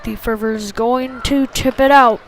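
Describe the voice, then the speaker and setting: High-voiced woman calling youth hockey. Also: fairly even intonation, unhurried. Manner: short clipped phrases, casual and colloquial. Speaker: play-by-play commentator. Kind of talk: informal live youth ice hockey commentary. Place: sports venue, ice rink. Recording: amateur livestream with faint background noise.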